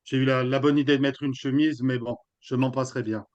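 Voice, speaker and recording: A man's voice: medium pitch, fairly quick. Chatty man on muffled call audio. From Nice, France. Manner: relaxed, chatty, frequent fillers. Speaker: team strategist presenting. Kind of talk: casual presentation. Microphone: video call. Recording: dull lo-fi video call audio.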